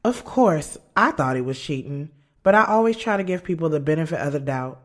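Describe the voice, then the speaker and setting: A woman's voice: sits low, expressive, a little fast, noisy background. An actress playing a candid, loyal woman who narrates her own story in an audio drama, in a conversational, slangy and frank way. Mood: reflective. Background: music.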